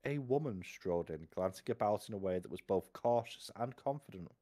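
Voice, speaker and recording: A man narrating a fantasy novel aloud at an unhurried pace, now and then stumbling and correcting himself. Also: on the low side, animated, mellow. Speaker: live stream reader.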